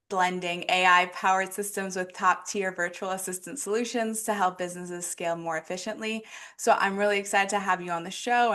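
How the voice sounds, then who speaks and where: A woman's voice: fairly low pitch, fairly bright tone. A woman hosting a casual podcast interview, asking questions in a friendly, upbeat way with some fillers. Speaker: podcast host.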